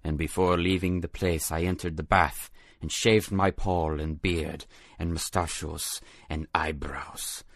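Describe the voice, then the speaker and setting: A man's voice: sits low, fairly even intonation, unhurried, a bit bright, full character voice. An actor playing a long-suffering young prince turned beggar dervish, telling his tale in formal, declamatory archaic English for an audio drama. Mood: sorrowful, reflective. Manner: declamatory, formal archaic phrasing with recited verse.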